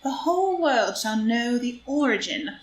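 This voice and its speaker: A woman's voice: a little high, animated, unhurried. A woman playing a scheming, amused society blackmailer with arch, taunting theatrical delivery in a stage play reading. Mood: triumphant, taunting. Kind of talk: stage play read as audio drama. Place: indoor room, live group reading. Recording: roomy live group reading, faint noise.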